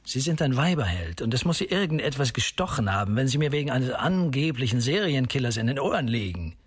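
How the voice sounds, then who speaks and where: An actor playing a blunt, sceptical police lieutenant in a crime radio drama, speaking with curt, sardonic authority in a husky voice. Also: male voice, mid-range voice, sing-song, a little fast. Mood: stern, threatening.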